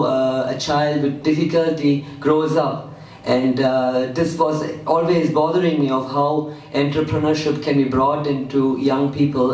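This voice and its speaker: A young man from Germany giving a personal presentation talk, halting with many fillers. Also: on the high side, darkish tone. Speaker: speaker at a PechaKucha night. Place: event hall. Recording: echoey hall, mic from a distance.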